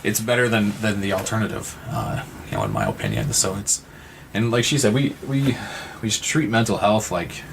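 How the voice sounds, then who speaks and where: A man in a reflective podcast conversation, talking haltingly and repeating words as he thinks aloud. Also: lowish voice, big pitch swings, gravelly, a little hiss or hum. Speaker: podcast participant.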